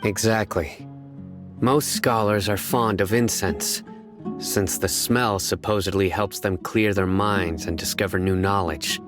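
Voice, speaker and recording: A man playing a low, curt desert investigator. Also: steady tone, natural voice. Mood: explaining. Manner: curt, measured, deductive. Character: stern young male desert investigator, terse and analytical. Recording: game cutscene with music behind.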